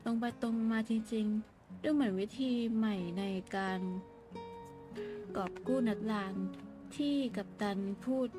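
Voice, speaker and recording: A woman's voice: a little high, rapid-fire. A woman streamer reacting to the dialogue of a game as she plays. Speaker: gaming streamer. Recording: game music behind voice.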